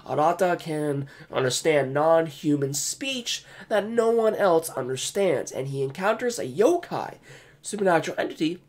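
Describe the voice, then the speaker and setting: A man chatting loosely on a live stream, full of ums and restarts, with a lively voice that rises and falls a lot. His voice sits a little high for a man and is turning hoarse as he keeps talking. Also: normal speed. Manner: chatty, many fillers and restarts, reads text aloud. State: losing his voice. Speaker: anime streamer. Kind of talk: casual live stream commentary.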